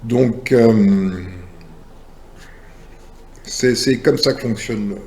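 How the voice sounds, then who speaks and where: A man hosting a casual spiritual talk, speaking slowly and directly to the listener in a relaxed, familiar way. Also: mid-range voice. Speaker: channel host.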